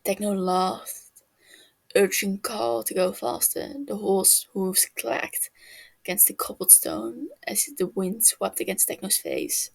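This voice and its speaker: A woman's voice: mid-range voice, slow pace, gravelly, breathy edge. A woman reading a scripted fantasy tale as a podfic narrator.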